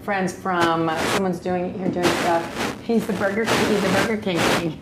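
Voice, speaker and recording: Playful low-voiced woman co-hosting. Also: fairly even intonation, normal speed. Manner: playful, self-teasing, chatty. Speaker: co-host. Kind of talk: casual chat on a live stream. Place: loft above a store during a convention. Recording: echoey room with background noise.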